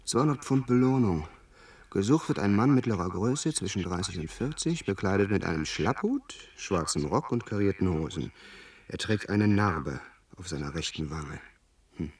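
An actor playing an impatient, theatrical gentleman with an insistent, dramatic manner in a radio play. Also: low-pitched, expressive, moderate pace, breathy edge, exaggerated voice. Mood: reading out. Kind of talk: German radio play acting.

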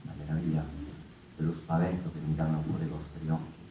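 An actor in his fifties playing a reflective, impassioned stage performer in a halting theatrical monologue that repeats words and phrases. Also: rumbling low, little pitch movement. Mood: hushed, reflective. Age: 50s. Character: impassioned fifty-year-old stage performer musing on freedom. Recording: echoey, distant, noisy stage room.

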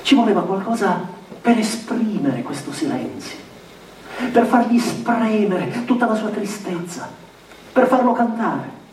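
An actor playing a sensitive young husband in a literary radio theatre monologue, confessing by letter in measured, deliberate phrases with pauses. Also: male voice, high-pitched, warm tone. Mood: melancholy, lyrical. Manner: measured, deliberate, pausing between short phrases. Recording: echoey, distant, noisy room recording.

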